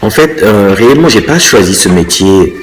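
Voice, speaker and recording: A man in a fairly low voice. He answers interview questions in a relaxed, thoughtful way. He stops often to find the right word before going on. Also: over music, poor audio. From Congo. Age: 50s. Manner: thoughtful, pausing often to search for words. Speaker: interviewee, dancer and choreographer.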